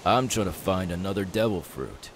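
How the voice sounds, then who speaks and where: A man playing a confident, friendly young pirate captain in an ASMR audio roleplay, easygoing, unhurried and reassuring. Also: sits low. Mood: confident, relaxed. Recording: steady background hiss.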